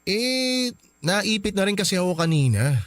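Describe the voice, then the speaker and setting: A man's voice: high voice, very animated, normal speed, noisy background. A man playing a conflicted young Filipino husband in a radio drama, hesitant, pleading and defensive. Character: conflicted young husband, apologetic, loyal to his brother.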